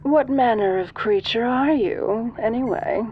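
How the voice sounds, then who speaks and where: A woman voicing a sinister, domineering ancient alien in a roleplay, speaking softly with a condescending, seductive manner. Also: on the high side, highs cut off, a little hiss or hum, natural voice. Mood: amused, condescending. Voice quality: soft. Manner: condescending, imperious, slow and teasing. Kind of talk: audio roleplay addressed to the listener.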